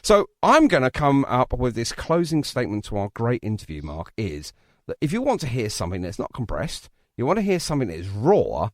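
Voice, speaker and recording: Male radio host, lively swooping delivery. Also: mid-range voice, brisk, dry recording, clean studio sound. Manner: jokey, rambling. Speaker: radio show host interviewing a musician. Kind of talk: casual interview chat.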